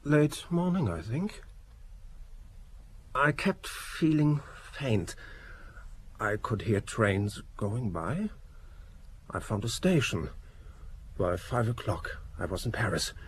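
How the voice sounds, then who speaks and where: An actor in an audio drama playing a wounded man, weak and faint, answering in a husky, breathy voice. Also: mid-range voice, sing-song, slow, long pauses, a little hiss or hum. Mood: weak, pained. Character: wounded, weakened man straining to answer questions.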